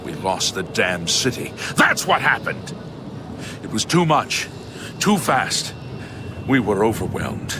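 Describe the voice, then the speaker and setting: A man's voice: on the low side, big pitch swings, takes it slowly, pauses often, background noise. A male actor playing a bitter, embattled city guard in a fantasy video game, with commanding, clipped phrases. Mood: bitter, anguished. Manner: forceful orders, clipped phrases. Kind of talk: dramatic fantasy game dialogue.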